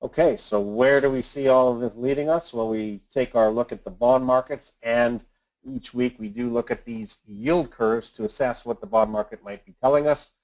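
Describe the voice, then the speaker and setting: A man reads scripted market commentary as an investment adviser on a webinar, in a measured, formal, businesslike manner. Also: mid-range voice, a little mellow. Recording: dull lo-fi conference call audio.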